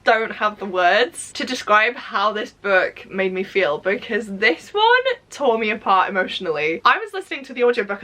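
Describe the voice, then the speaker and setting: Welsh woman in her 20s chatting about books, bright clear voice, earnest, laughs a bit. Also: mid-range voice. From Wales. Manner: earnest and emotional, laughs now and then. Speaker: book vlogger. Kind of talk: casual book review vlog.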